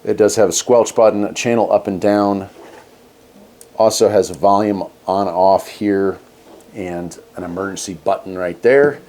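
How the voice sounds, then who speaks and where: Low-voiced man casually reviewing gear. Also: measured pace, warm tone. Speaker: host of a radio gear review channel. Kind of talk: casual hands-on product review.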